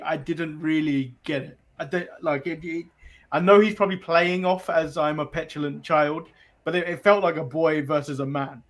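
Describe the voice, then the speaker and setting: Slightly high-voiced Englishman co-hosting a podcast. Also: fairly bright tone. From England. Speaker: wrestling podcast co-host. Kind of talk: casual opinionated chat.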